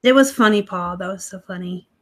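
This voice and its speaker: A woman's voice: mid-range voice, fairly quick. A woman hosting a casual live stream from home, chatting with viewers, a bit snarky and laughing often. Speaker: livestream host, online reseller. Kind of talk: casual live-stream chat reading comments. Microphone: laptop live stream.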